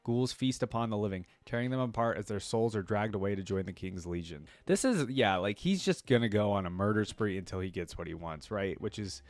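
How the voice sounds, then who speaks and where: A man's voice: fairly low pitch, fairly quick, few pauses, dry recording. A man hosting a reaction video, reading story text aloud and adding casual commentary.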